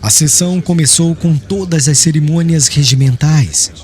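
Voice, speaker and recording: A man's voice: on the high side, a little mellow. A man narrating an audiobook in a solemn, formal, dramatic literary style. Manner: solemn, formal, measured phrasing. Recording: clear music bed under voice.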